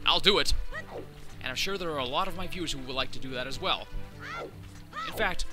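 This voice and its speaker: A young man with a slightly hoarse voice from a cold, giving chatty, sarcastic commentary while playing a video game. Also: mid-range voice, a little fast. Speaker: let's play commentator. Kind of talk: casual gameplay commentary. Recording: loud game music under voice.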